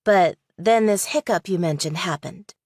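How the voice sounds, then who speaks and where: A woman's voice: on the low side, normal speed, a little mellow, dry recording, studio quality, lightly acted. Probing young law student played by a woman. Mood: cool, matter-of-fact. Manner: direct, questioning, analytical. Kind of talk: audiobook character dialogue.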